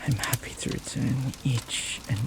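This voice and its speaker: A man voicing a flirty, devoted demon in an intimate romantic audio roleplay, speaking formally and reverently in a husky voice that often drops to a whisper. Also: medium pitch, fairly even intonation, background noise. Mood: hungry, flirty. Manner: slow, formal, reverent phrasing. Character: jealous, flirty, devoted male demon bound to master.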